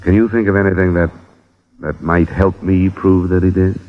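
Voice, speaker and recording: A man's voice: sits low, little pitch movement, normal speed, lightly acted. An actor playing a blunt, probing investigator who narrates his case, dry and direct, in a 1950s radio detective drama. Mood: careful, probing. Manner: dry, direct, matter-of-fact. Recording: dull lo-fi old radio broadcast.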